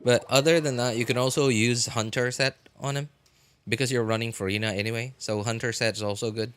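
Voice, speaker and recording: A man live-streaming a game, chatting casually with viewers and answering chat in a relaxed, chatty way. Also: medium pitch, a little fast, smooth. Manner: frequent fillers and restarts, laughs easily.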